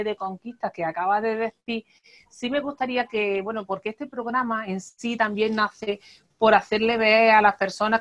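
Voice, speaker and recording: A woman's voice: medium pitch, a bit bright. A woman in her thirties hosting a video-call interview, framing her questions hesitantly with restarts and tag questions. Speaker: host. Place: room with plants and a window. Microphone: wired earphone mic on a video call. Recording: dull lo-fi video call audio.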